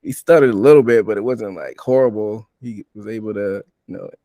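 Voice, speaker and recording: A man's voice: lowish voice, a little fast, mellow, no echo, studio quality. A man hosting a sports podcast, talking casually through a recap and putting questions out for discussion. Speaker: podcast host.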